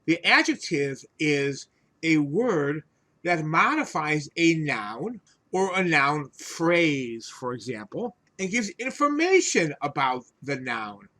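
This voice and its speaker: Slow, bright-voiced man teaching vocabulary. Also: fairly high pitch, big pitch swings. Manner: deliberate, emphatic, explanatory enunciation. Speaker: English teacher, host. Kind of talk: instructional vocabulary lesson.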